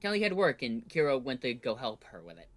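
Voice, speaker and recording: A man playing an earnest, caring young superhero in an audio drama, with a halting delivery. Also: medium pitch, wildly swooping pitch, dry recording. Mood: worried, gentle.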